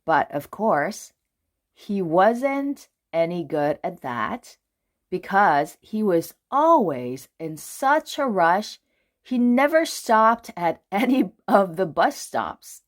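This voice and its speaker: A woman reading a children's storybook aloud in an expressive, deliberate way with dramatic phrasing. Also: medium pitch. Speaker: children's book reader.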